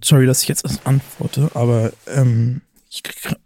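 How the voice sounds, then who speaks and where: An actor playing a downcast young activist in an audio drama, haltingly leaving an apologetic, self-deprecating voice message. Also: male voice, mid-range voice, little pitch movement, vocal fry, noisy background, close to an everyday voice. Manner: halting, hesitant, self-deprecating, repeats himself.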